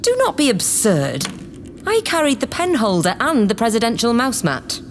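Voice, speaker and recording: A woman's voice: on the high side, huge pitch swings, normal speed, a little hiss or hum. A woman playing an imperious, condescending politician plotting to seize power in an audio drama. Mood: smug, bossy. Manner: haughty, condescending, grandiose. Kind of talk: theatrical audio drama acting.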